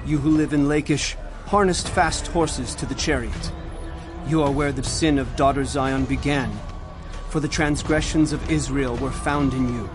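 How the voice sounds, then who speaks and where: A man's voice: medium pitch, fairly even intonation, normal speed, natural voice. A man playing an impassioned prophet solemnly warning of doom in a dramatized audio Bible, with music behind him. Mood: mournful. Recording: music under the voice.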